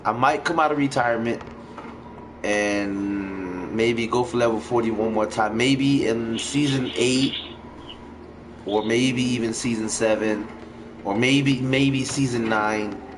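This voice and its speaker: Man streaming a game over clear background noise. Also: mid-range voice, slow. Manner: profane, slangy. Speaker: game livestream host. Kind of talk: casual gaming stream chat. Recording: noisy home stream with game ambience.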